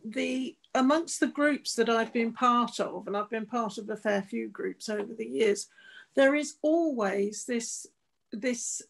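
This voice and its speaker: A woman's voice: a little high, creaky. An older woman on an online panel, speaking plainly and earnestly about craft groups. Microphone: video call laptop mic.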